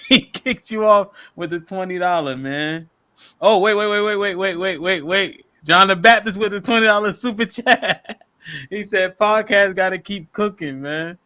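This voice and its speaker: A man's voice: high voice, moderate pace, bright, forceful tone. A man hosting a live-stream podcast, reading out paid chat messages in loose, slangy talk, laughing often and repeating words for emphasis. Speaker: podcast host reading super chats.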